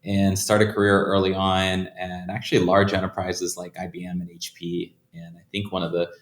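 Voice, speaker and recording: A man from Brazil, a guest on an interview podcast, telling his career story in a loose, conversational way and often repeating words as he restarts. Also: low-pitched. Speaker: guest, startup founder. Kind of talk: casual interview answers. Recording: echoey room.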